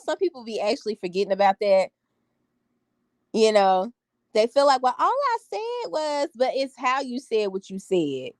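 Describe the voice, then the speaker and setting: Woman vlogger in her 30s, strong confident delivery, a bit higher than most, dry close sound. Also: dry recording. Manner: emphatic, sassy, repeats phrases for effect. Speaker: vlogger talking to live viewers. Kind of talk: casual storytelling chat.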